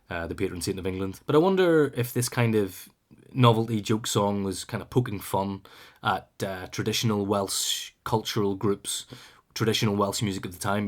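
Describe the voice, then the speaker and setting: A man hosting a music show, talking casually between songs in a relaxed, musing way, with frequent ums and dry asides. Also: on the low side.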